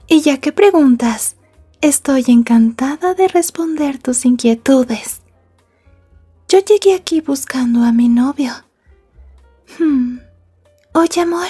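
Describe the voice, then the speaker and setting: A woman playing a sweet, possessive schoolgirl girlfriend in an ASMR roleplay, soft and polite with controlled menace. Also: high-pitched. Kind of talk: Spanish ASMR roleplay acting.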